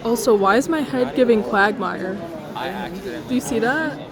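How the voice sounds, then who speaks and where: A woman's voice: a little high, animated, a little slow. A woman chatting casually with a friend in a giggly, joking way. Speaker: audience member filming the event. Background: loud crowd.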